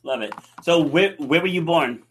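A man's voice: fairly high pitch, normal speed, a little hiss or hum. A man hosting a podcast interview, asking questions and dropping in short affirmations between them. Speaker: podcast host.

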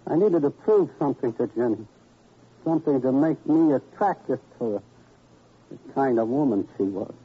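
Husky wisecracking crook, old radio drama. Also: male voice, medium pitch, animated, normal speed. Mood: bargaining. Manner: wisecracking, slangy tough talk. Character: cornered, bargaining male murderer with a gun. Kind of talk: 1950s radio crime drama acting. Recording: dull, muffled old radio recording.

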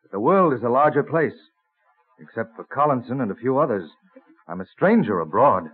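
A colonial plant collector in an old radio play. He is plain-spoken, modest and enthusiastic, with lively swings of intonation. The sound is narrow and muffled. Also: medium pitch, fairly quick, husky. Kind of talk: vintage radio drama acting.

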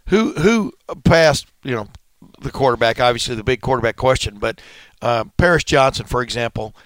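A man in his sixties from Texas co-hosts a sports talk show in a folksy, easygoing way and pokes fun at himself. His voice is smooth and clear, recorded close and dry. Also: medium pitch, lively intonation, moderate pace, fairly bright tone, no room sound. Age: 60s. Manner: folksy, easygoing, self-mocking.

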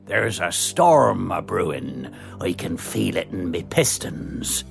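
Man putting on a gravelly old sailor engine, slow drawl, yarn-spinning, storybook voice. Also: sits low, big pitch swings, faint music behind, voice pushed down, exaggerated voice. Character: salty old male dockside diesel engine, sailor.

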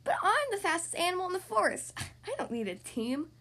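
A woman's voice: very high voice, sing-song, brisk, bright, forceful tone, pitched above the performer's own voice, exaggerated voice. A woman voicing a proud, impatient female squirrel who races, boastful and exasperated, in a children's storybook.

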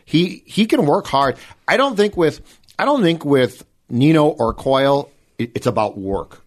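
Casting: man host, measured wry delivery, casual sports talk. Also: fairly high pitch, lively intonation. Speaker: podcast host.

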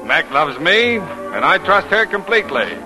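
Lively, high-pitched wisecracking charmer. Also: male voice, measured pace. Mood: teasing, flirtatious. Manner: teasing, sardonic, hesitating for effect. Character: wisecracking, flirtatious male secretary with easy charm. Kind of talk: 1940s-50s radio comedy acting. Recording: vintage radio recording, music behind.

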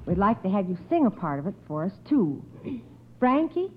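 A woman's voice: medium pitch, very animated, normal speed. A woman hosting a radio quiz in a polite, formal way, reading out questions. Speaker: quiz show host. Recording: muffled old radio recording.

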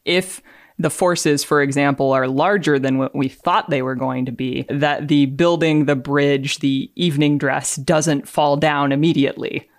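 A woman's voice: sits low, steady tone, unhurried, no echo. A woman speaks as a podcast contributor, reading and explaining in a matter-of-fact way. Her delivery is dry, and the recording is close with no room sound.

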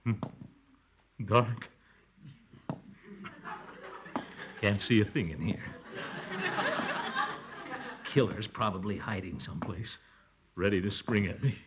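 An actor playing a blustering, jittery middle-aged amateur sleuth in 1940s radio comedy, muttering to himself and breaking into theatrical exclamations. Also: male voice, fairly low pitch, a little slow, pauses often, very noisy, close to an everyday voice. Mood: nervous. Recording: old radio broadcast with music behind.

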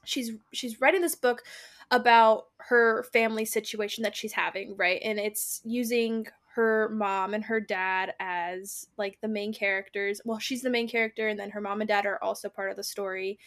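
A woman's voice: mid-range voice, fairly even intonation, moderate pace, a bit bright. A woman co-hosting a book club podcast, talking casually and chattily, often saying "like" as she goes.

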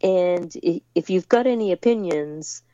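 A woman's voice: on the low side, a little slow, dark tone, no echo, lo-fi sound. A woman raised in the United States, a musician guest in a remote interview, talking hesitantly with many fillers and restarts.

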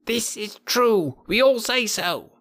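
A shrill, vain band of jungle monkeys, put on by a male narrator, squealing their own praises in a high, strained voice. The sound is dry and close. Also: expressive, slow, no echo, exaggerated voice. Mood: shouting. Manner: shrill boastful shouting. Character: chattering boastful monkey mob, shrill and vain.

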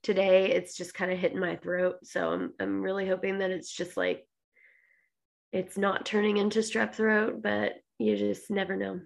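A woman in her thirties co-hosting a casual podcast, hoarse and congested from a cold, laughing often. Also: mid-range voice, normal speed. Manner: laughs a lot, animated storytelling. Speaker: podcast co-host. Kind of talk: casual chat.

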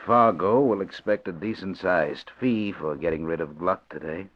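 A sly, husky villain doctor. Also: male voice, deep voice, normal speed. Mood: sardonic. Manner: dry, mocking, self-satisfied. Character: sly, sardonic male sanitarium doctor. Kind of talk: 1940s radio acting. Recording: dull, muffled old radio recording.

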